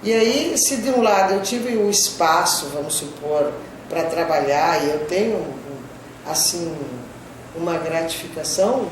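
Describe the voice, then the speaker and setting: A woman's voice: fairly low pitch, expressive, measured pace. Echoey older woman with border Gaúcho accent. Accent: Gaúcho, from the Brazilian border with Spanish terms. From southern Brazil border region. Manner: frequent "né?" tags, conversational storytelling. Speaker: geography professor interviewed. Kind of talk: reflective career interview. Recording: distant mic, echoey room, clear background noise.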